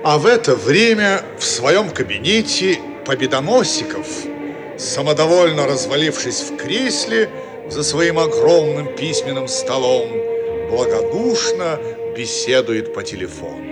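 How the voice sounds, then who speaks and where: Animated male narrator over music. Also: fairly high pitch, measured pace. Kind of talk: scripted narration of stage directions.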